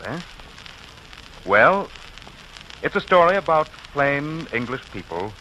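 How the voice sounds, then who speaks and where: A man's voice: lowish voice, sharp tone, slight background noise, low-quality recording. A man announcing and narrating in scripted 1940s radio style, addressing listeners in a folksy, direct way. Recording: old 1940s radio recording.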